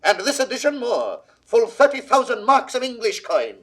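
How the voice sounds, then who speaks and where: An actor playing an imperious king in a 1960s classical Shakespeare audio drama, declaiming in a husky, theatrical voice. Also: big pitch swings, moderate pace, full character voice. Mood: commanding, expansive. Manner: declamatory, theatrical, swinging from hushed to booming. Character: imperious, grandiose king, bargaining and commanding.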